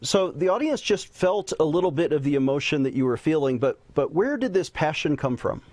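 Male host, preacherly, formal, slightly high voice, dry sound. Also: animated, a little fast, darkish tone, no echo. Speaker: talk show host. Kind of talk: hosting a news talk show.

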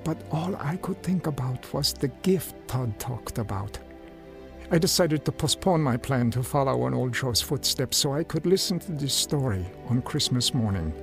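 An actor playing a sincere, humble homeless man with a creaky voice, telling his story in a radio drama. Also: medium pitch, animated, normal speed. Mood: reflective. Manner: sincere, reflective. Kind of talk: radio drama first-person narration and dialogue. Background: music.

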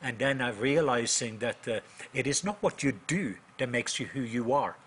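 Bright-voiced Norwegian man in his fifties. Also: medium pitch, animated, normal speed, slight background noise. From Norway. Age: 50s. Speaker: guest, minister and author. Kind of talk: conversational testimony in an interview.

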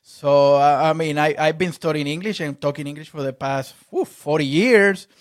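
Halting man, higher voice. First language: Spanish. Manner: halting, repeats words. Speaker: podcast host. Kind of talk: casual interview chat.

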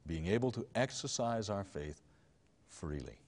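Deep-voiced, earnest male interviewer. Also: lively intonation, darkish tone, in a large hall. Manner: earnest, reflective, measured. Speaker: host.

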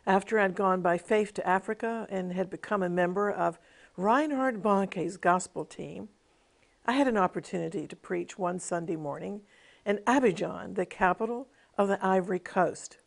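Earnest woman preacher with a creaky voice. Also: mid-range voice, normal speed. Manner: earnest, emphatic preaching cadence. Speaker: television minister. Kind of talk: devotional teaching with personal testimony.

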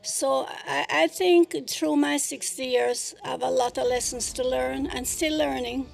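A woman's voice: sits high, steady tone, a little slow, creaky. An older woman speaking reflectively as an interview guest.

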